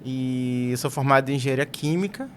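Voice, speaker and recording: A man from Bahia, a guest on an engineering podcast, calmly recounting his career as a technical sales manager. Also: medium pitch, a little fast. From Bahia, Brazil. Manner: relaxed storytelling about his career. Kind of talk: podcast interview. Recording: faint background noise.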